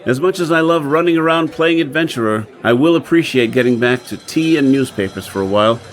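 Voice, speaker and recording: An actor playing a sardonic gentleman adventurer with a measured, deadpan manner in a radio drama. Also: mid-range voice, lightly acted. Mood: calm. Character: dry, sardonic gentleman adventurer, the professor's partner.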